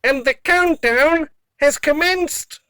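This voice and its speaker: A woman's voice: high-pitched, slow, pushed and bright, no room sound, heavily acted. A woman voicing an obedient robot dog in an audio drama, formal, clipped and emotionless. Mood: matter-of-fact.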